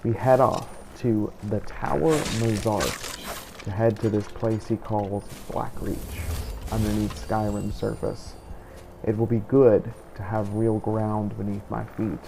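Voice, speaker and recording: A man voicing a weary, wry vampire hunter, narrating a game playthrough in character in a measured, solemn way. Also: on the low side, gravelly, background noise. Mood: resolute, wry.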